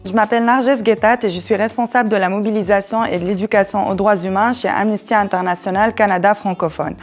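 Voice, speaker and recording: A woman gives an explanatory presentation as an educator, measured and a little hesitant. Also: mid-range voice. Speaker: human rights education coordinator and presenter.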